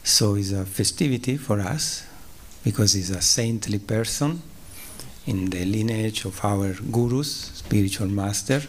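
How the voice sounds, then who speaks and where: A man from southern Switzerland whose first language is Italian, giving a spiritual lecture at a temple in English with an Italian accent. He speaks haltingly, pausing, using fillers and clearing his throat. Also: fairly low pitch, a little hiss or hum. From Ticino, southern Switzerland. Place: temple hall.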